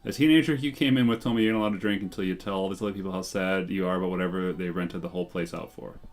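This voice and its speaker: A man's voice: low voice, steady tone, racing. A man reading the lines of a dry, unimpressed female bartender in a game, an Irish character, with faint music behind.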